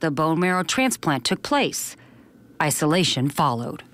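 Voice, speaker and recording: A woman's voice: low-pitched, sing-song, measured pace, fairly bright tone, slight background noise. A woman news reporter reading scripted narration for a television feature.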